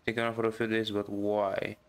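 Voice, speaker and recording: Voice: medium pitch, monotone, fairly quick. A video game streamer giving casual commentary, reacting aloud informally to the game.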